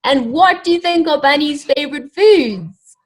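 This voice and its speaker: A woman reading a story aloud to children, animated and encouraging, pausing to ask them questions directly. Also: high-pitched. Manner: animated, encouraging, addresses young listeners directly.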